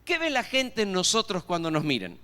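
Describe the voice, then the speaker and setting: A man's voice: high-pitched, huge pitch swings, normal speed, sharp tone. A man with an Argentine accent preaching a sermon as pastor, often putting rhetorical questions to the congregation. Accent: Argentine Spanish. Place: church hall. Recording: reverberant church hall.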